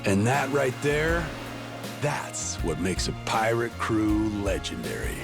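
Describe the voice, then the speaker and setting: A man's voice: lowish voice, very animated, takes it slowly, creaky, over music, exaggerated voice. A man playing an easygoing, honourable pirate captain in a video game, talking in a folksy, frank way. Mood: earnest musing. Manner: folksy, conversational. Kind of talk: video game cutscene acting.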